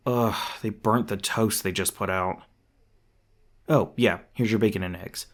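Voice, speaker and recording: A man playing a gruff, defensive monster hunter who secretly cares, in an intimate roleplay audio drama, with casual, sweary, hesitant speech. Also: on the low side, fairly even intonation, measured pace. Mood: awkward small talk. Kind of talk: intimate ASMR roleplay acting.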